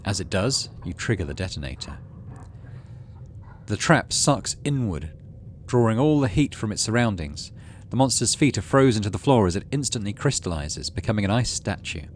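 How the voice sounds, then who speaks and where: Low-voiced man narrating a video game. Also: big pitch swings, moderate pace. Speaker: let's play host. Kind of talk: gameplay commentary and reading game text aloud. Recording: clear background noise.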